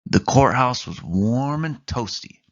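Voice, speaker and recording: A man's voice: mid-range voice, animated, slow. A man narrating a read-along children's story from a book. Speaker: storybook reader. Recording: dull, muffled lo-fi recording.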